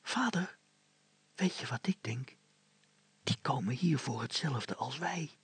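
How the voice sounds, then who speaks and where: A simple young son from a storybook, voiced by a male reader, nervous and whispering. The breathy voice is pitched up and husky. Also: animated, takes it slowly, no echo, full character voice. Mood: alert, whispering.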